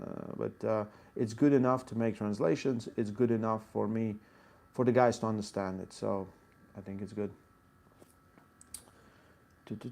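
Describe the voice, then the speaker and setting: Latvian accent guy, arm wrestling coach doing a live q&a, gravelly voice, reads chat out loud and explains stuff in short bits. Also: on the low side. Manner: explains technique in short bursts, reads chat questions aloud. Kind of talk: live Q&A answering viewer chat.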